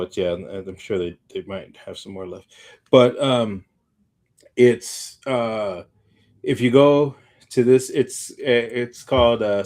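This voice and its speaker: A man co-hosting a podcast in casual chat, with a halting, meandering, slangy way of talking. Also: medium pitch, a little mellow. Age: adult, parent of young child. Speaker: podcast co-host.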